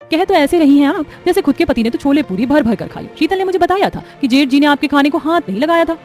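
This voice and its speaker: Woman acting a fast-talking, sharp-tongued young wife. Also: high voice, lively intonation. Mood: teasing. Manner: teasing, argumentative. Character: sharp-tongued, confident young daughters-in-law. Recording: music behind the voice.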